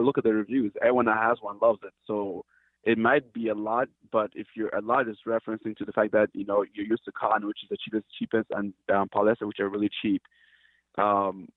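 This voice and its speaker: A man's voice: lowish voice, dry recording. A man from Cameroon, a company founder, talking earnestly and hesitantly in an interview, often restarting his sentences. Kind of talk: casual interview talk. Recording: tinny dry phone line.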